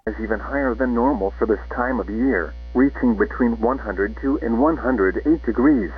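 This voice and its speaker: A man's voice: mid-range voice, normal speed, a little hiss or hum. A synthetic man's voice formally reading scripted weather alerts as an emergency announcer. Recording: tinny narrow radio sound, music behind.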